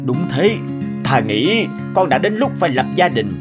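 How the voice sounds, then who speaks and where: An actor playing a stern, exasperated middle-aged nobleman father in an animated folk tale, with a scolding, authoritative manner. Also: fairly high pitch, measured pace, loud music behind the voice. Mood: firm.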